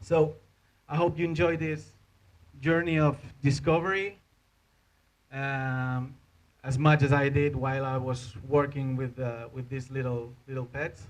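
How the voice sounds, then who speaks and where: A man in his thirties with a Spanish accent gives an informal conference talk as an animator, speaking halting non-native English into a handheld microphone. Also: mid-range voice, little pitch movement, poor audio. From Spain. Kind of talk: informal presentation to an audience. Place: indoor hotel conference room.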